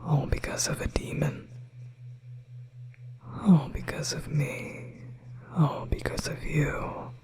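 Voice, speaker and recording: A woman playing a possessive, manipulative female demon in a hypnosis ASMR roleplay, coaxing and soothing in a breathy whisper. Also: low-pitched, flat delivery, slow, pauses often, a little mellow. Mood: soothing, hypnotic.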